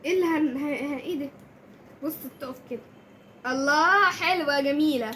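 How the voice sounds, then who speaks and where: A woman's voice: high voice, fairly quick, sharp tone, a little hiss or hum. A young girl with an Egyptian Arabic accent, chatting casually as she hosts an unboxing video and gives instructions to a younger child. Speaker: kids' channel host.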